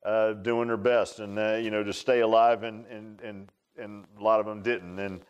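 An American man in his sixties preaching an informal sermon in a halting, folksy, conversational way, often repeating words. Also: on the low side, level tone, clear, resonant tone, dull audio. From United States. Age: 60s. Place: speaking in a church.

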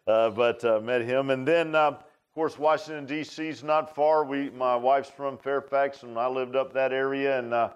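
An American man in his sixties preaching an informal sermon in a halting, folksy, conversational way, often repeating words. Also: mid-range voice, fairly even intonation, smooth, muffled recording. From United States. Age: 60s. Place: speaking in a church.

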